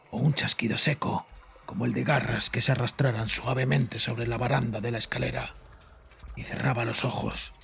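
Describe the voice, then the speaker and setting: Gravelly, haunted father confessing. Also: medium pitch, heavily acted. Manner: agitated, halting, haunted confession. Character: guilt-ridden, frightened, bitter father confessing to his psychiatrist. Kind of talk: dramatized horror audio fiction. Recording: voice over background music.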